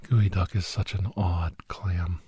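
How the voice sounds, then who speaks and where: A man playing a weary, confiding doctor who hunts shapeshifters, telling his story in a hushed, halting, breathy ASMR style. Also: low voice, steady tone, a little hiss or hum. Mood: hushed, reminiscing. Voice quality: breathy, often whispered.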